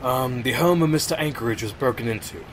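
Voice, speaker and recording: A man's voice: medium pitch, brisk, fairly bright tone, close to an everyday voice. A man playing a harried, grumbling pony police inspector in an audio drama, exasperated but matter-of-fact. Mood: reporting. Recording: noisy, with heavy rain behind.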